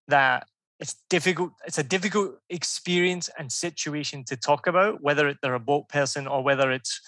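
A Scottish man with a bright, fairly high voice hosts a podcast, rambling eagerly and cutting himself off. The sound is close and dry. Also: normal speed, dry recording. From Scotland. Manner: enthusiastic, rambling, self-interrupting. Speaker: podcast host. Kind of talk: conversational interview.

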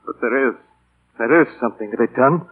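An actor playing a hot-blooded young army officer, a grieving brother out for revenge, terse, accusing and raspy, in a 1940s radio drama. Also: male voice, mid-range voice, fairly quick, narrow, tinny audio. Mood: furious, bitter. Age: young adult. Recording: thin, band-limited 1940s radio recording.

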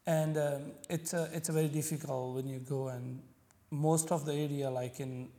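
A man from Pakistan with a Pakistani accent and Punjabi as his first language, speaking haltingly as a podcast guest. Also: on the high side, fairly even intonation, dark tone. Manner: halting, searching for words, frequent fillers. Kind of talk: conversational interview.

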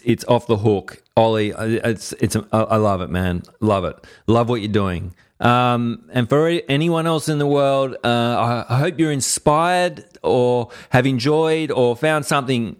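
A man's voice: medium pitch, clear, resonant tone. A man hosting a podcast, talking in a laid-back, rambling way and swearing casually. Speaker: podcast host.

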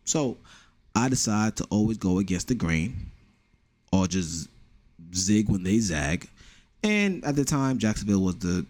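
A man's voice: on the low side, very animated, a little slow, long pauses, a little mellow, no echo. A young man with a New York accent, hosting a casual solo podcast with blunt, profane joking. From the Bronx, New York. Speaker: podcast host.

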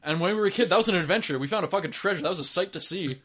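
A man's voice: high voice, very fast, a bit bright. A man co-hosting a casual chat, rambling loosely and swearing freely. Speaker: co-host.

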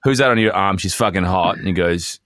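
A man's voice: low-pitched, a little fast, a bit bright, no echo. An Australian man co-hosting a podcast, chatting casually about sport with dry, wry comments. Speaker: podcast co-host.

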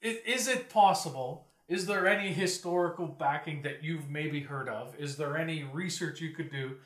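A man's voice: a little high, moderate pace, fairly bright tone. A man chatting casually as a podcast co-host, posing questions in an opinionated, joking way and swearing freely. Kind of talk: casual chat. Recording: roomy, echoey sound.

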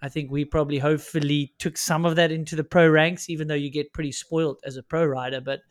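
A middle-aged South African man with a South African accent, interviewing a former teammate in a measured, thoughtful way. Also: on the high side, level tone, no room sound, studio quality. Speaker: interviewer, former pro rider. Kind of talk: casual conversational interview.

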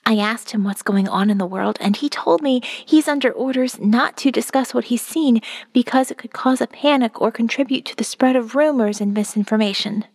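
Woman acting as wary female survivor, diary-style audio drama narration, slightly high voice, wry, observant, long reverberant tail. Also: normal speed, hardly any pauses, a little mellow. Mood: uneasy, sober. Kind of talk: first-person audio novel narration.

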